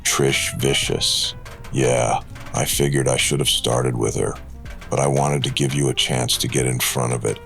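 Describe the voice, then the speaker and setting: An actor playing a huge spectral wrestler in a noir radio drama, speaking slowly with cold, accusing menace and a gravelly voice. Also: male voice, steady tone, a bit bright, soft background music, heavily acted. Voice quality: very deep, gravelly. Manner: slow, cold, ominous.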